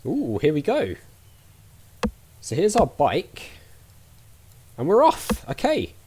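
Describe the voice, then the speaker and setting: A man's voice: on the high side, huge pitch swings, takes it slowly, background noise. A man giving casual let's play commentary, thinking aloud in a chatty way as he plays.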